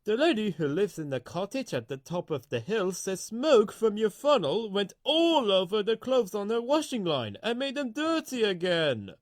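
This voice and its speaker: A man's voice: high-pitched, big pitch swings, moderate pace, few pauses, no echo, clean studio sound, pitched above the performer's own voice. A man voicing a cross male railway controller, scolding sternly.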